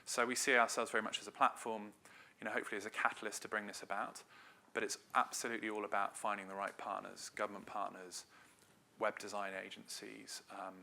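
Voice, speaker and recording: A man's voice: fairly low pitch, little pitch movement, fast, vocal fry, pushed and bright, slight background noise. A middle-aged man from Wales giving a conversational conference presentation as a marketing director, with loose phrasing and frequent hedges.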